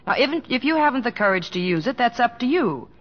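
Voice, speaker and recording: A woman's voice: on the high side, very animated, fast. An actress playing a loving but firm middle-aged wife and mother with an American accent, in old-time radio drama style. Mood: firm, reproachful. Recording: muffled old radio recording.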